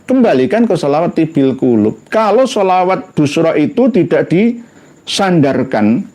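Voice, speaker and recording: A man from Indonesia with a Javanese accent giving an informal religious talk in a halting, conversational way. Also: on the high side, very animated, mellow. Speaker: religious commentator.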